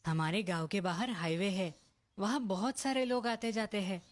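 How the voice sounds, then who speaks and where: A woman voicing a sensible, encouraging young village son, earnest and persuasive, in an animated moral story. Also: mid-range voice, normal speed, natural voice.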